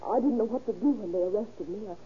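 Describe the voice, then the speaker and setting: A woman's voice: mid-range voice, rapid-fire, natural voice. An actress playing an anxious, ashamed young woman, earnest and pleading, in an old-time radio melodrama. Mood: ashamed, distressed. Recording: dull, hissy old radio recording.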